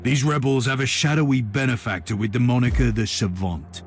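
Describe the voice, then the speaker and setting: An actor playing a stern, zealous imperial inquisitor in a video game, speaking in a formal, righteous, declamatory way. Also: male voice, mid-range voice, fairly even intonation, brisk, close to an everyday voice. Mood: grave. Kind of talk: video game cutscene dialogue. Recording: loud music behind the voice. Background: loud game music.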